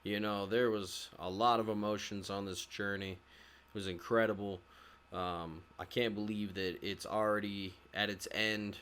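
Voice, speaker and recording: A man hosting a gaming channel, giving casual, halting game commentary to his viewers with some loose swearing. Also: on the low side, fairly even intonation, a bit bright, clean tone. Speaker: host of a gaming walkthrough channel.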